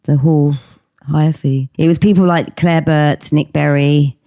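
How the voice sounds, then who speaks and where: Woman, middle-aged, husky, gravelly, mellow, casual chat with ums. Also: deep voice, steady tone, a little slow, no echo, clean studio sound. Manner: chatty, hesitant with many ums, laughs easily. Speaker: podcast guest, actress and singer.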